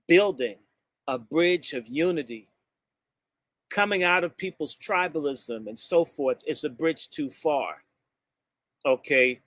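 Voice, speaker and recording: Brief: high-voiced, sharp-toned American man, fiery and insulting, working a live crowd. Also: no echo. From United States. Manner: fiery and emphatic, drawing out key words; blunt and insulting, jumps between topics. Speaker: speaker addressing a gathering. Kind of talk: impassioned speech to a live audience.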